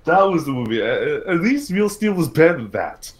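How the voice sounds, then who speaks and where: A man hosting a casual podcast livestream about films and games, talking haltingly and repeating words as he thinks aloud. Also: a little high, big pitch swings.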